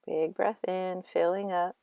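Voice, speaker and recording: Female meditation guide, lower-register voice, gentle and unhurried delivery with long pauses, close dry recording. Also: fairly even intonation, gravelly, no room sound.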